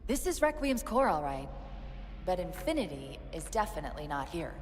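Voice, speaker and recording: A woman playing a quick-witted, protective AI companion in a video game, with brisk, commanding, expressive delivery. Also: lowish voice, a little hiss or hum. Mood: focused briefing. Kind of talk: video game cutscene acting. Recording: slightly distant, music behind.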